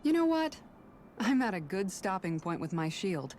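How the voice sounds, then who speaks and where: A woman voicing an earnest, idealistic young guardswoman in a video game, speaking sincerely and gently. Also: mid-range voice, very animated, moderate pace, slight background noise, natural voice. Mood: fond, earnest. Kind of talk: scripted video game dialogue. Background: game music.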